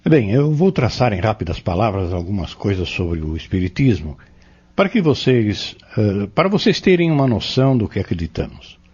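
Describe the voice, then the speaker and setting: Husky, earnest male mentor played by an actor. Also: on the low side, a little mellow, muffled recording, heavily acted. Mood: earnest, instructive. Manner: courteous, measured, explanatory. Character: earnest, patient male Spiritist mentor explaining doctrine. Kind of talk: audio drama dialogue, didactic.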